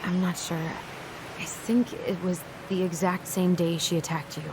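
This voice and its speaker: Low-pitched guarded young American woman game actress. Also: little pitch movement, normal speed, background noise, lightly acted. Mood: defensive. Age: young adult. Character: guarded young woman, loyal to her family, easily exasperated. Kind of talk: naturalistic video game dialogue.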